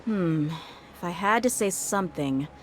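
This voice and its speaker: A woman's voice: lowish voice, expressive, measured pace, a little hiss or hum. A woman voicing a young, self-assured mercenary swordfighter in an anime video game, direct and protective. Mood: reflective. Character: confident, protective young female mercenary swordfighter, brisk and frank. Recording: background music behind the voice.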